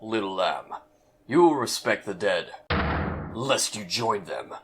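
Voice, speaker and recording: A man's voice: fairly low pitch, expressive, takes it slowly, fairly bright tone, a little hiss or hum. A man voicing a cold, menacing male prosecutor in a fan audio drama, speaking in clipped threats. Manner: clipped, menacing, calls others "little lamb".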